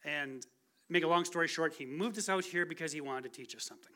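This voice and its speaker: Guy in his 40s preaching, kinda high bright voice, chatty and self-deprecating. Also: fast talker. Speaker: guest speaker. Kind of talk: conversational sermon testimony. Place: church sanctuary.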